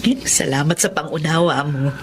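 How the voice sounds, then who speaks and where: A woman's voice: deep voice, moderate pace, background noise, lightly acted. A woman acting a kind, motherly middle-aged benefactor in a Filipino radio drama, tender and earnest. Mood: warm concern.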